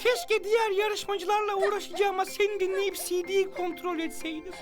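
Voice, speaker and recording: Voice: extremely high pitch, fairly even intonation, normal speed, over music. A performer voicing a small, eager, competitive and mischievous cartoon child with a bouncy, exclamatory manner. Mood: disappointed. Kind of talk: preschool cartoon acting.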